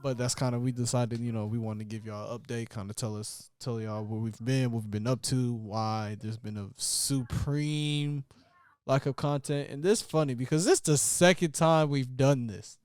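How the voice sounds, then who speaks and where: A man's voice: mid-range voice, fairly even intonation, moderate pace, a little mellow, clean tone, dry recording. Laid-back slangy young man. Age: 20s. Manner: laid-back, slangy, speaks straight to the audience. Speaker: podcast co-host. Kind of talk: casual chat.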